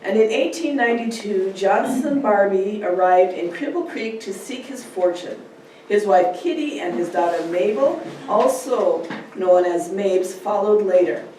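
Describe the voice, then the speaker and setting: A woman's voice: mid-range voice, expressive, measured pace, dark tone. A woman reading out a prepared introduction for a speaker, as if presenting at a club meeting. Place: large echoey indoor room. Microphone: distant camera microphone. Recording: echoey room from far off, hiss.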